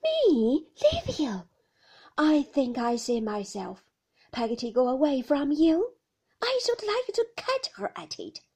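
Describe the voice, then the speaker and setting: A woman's voice: a little high, sing-song, a little slow, a little mellow, slightly rough, heavily acted. A woman voicing a devoted, scolding maidservant in a storybook, with emphatic, homely exclamations. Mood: fiercely devoted. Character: devoted, scolding older maidservant, blustering and tender.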